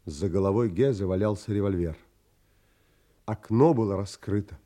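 An actor playing an earnest, composed gentleman hero who narrates a seafaring audio play in an expressive, theatrical way. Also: on the low side, normal speed, natural voice. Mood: reflective, grave.

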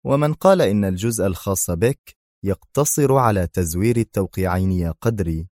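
A man voicing a calm, confident spy hero with a knowing manner. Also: deep voice, fairly quick, dry recording, studio quality, natural voice.

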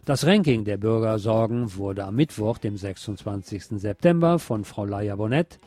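A man's voice: mid-range voice, mellow. A man presenting local news on the radio in a scripted reading style.

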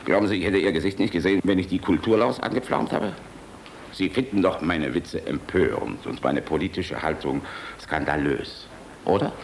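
A man's voice: low-pitched, normal speed, creaky, a little hiss or hum. An actor playing a boisterous, cynical, fatherly middle-aged general in a German radio play, hearty and teasing, commanding one moment and confiding the next. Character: boisterous, jovial, cynical Luftwaffe general, fatherly toward young officers.

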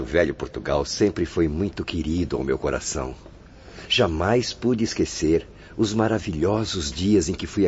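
Radio drama role: courteous young orderly, low voice, animated, formal, faint music bed. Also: male voice, exaggerated voice. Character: kindly, courteous young male nurse in spirit hospital. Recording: muffled audio with soft music.

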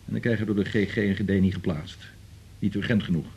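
An actor playing a busy family doctor in a radio drama, with a husky voice and brisk, businesslike delivery. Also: male voice, low-pitched, darkish tone, muffled recording, slight background noise. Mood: brisk, exasperated.

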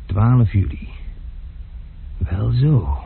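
An actor playing an impulsive, quick-tempered gentleman traveller in a radio play, full of exclamations. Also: fairly low pitch, gravelly, slightly rough, full character voice. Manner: lively, exclaiming, blustery. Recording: muffled lo-fi archive radio play.

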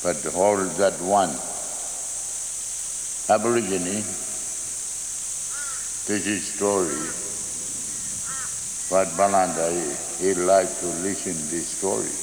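Man, unhurried, broken repetitive phrasing, long reverb, hissy background. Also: sits low, fairly even intonation, darkish tone. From Australia. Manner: simple broken phrasing, repeating words. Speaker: storyteller. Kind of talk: unscripted spoken testimony. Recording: echoey, hissy, insects chirping.

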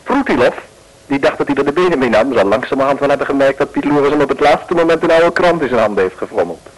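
A man's voice: mid-range voice, expressive, fast, poor audio. A man narrating a 1950s radio play in a wry, mock-dramatic way.

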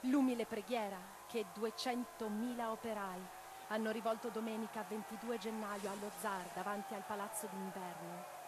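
A woman playing a passionate, idealistic revolutionary in a radio drama, declamatory and expressive. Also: mid-range voice, noisy background, natural voice. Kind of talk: theatrical radio drama monologue and dialogue. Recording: radio play with music underneath. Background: music.

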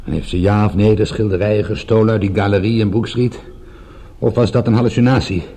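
An actor playing a wry, defiant private detective held captive, speaking drily in a radio drama. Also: male voice, lowish voice, steady tone, quick pace, warm tone. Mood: probing, sarcastic. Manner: sardonic, dry, stubborn.

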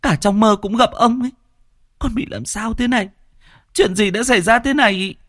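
A man voicing a frightened young village woman haunted by a ghost, speaking in a high, trembling, panicky voice. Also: normal speed, fairly bright tone, no echo, studio quality. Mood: terrified.

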